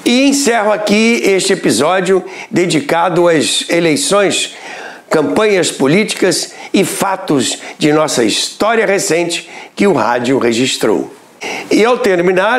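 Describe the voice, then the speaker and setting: A man hosts a radio history program in scripted documentary narration. His voice is high and moves a lot in pitch. He tells the story at a measured pace, like a storyteller. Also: very animated. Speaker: radio history podcast host.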